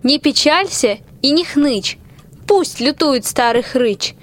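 An actress playing a resourceful, comforting peasant wife who talks in folksy rhyme in a fairy-tale radio play. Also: female voice, sits high, lively intonation, takes it slowly, no room sound. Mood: soothing, commanding.

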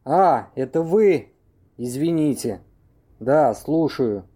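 A man playing a gruff, hurried man on a phone call in an amateur audio play. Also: medium pitch, expressive, warm tone. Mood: irritated, urgent.

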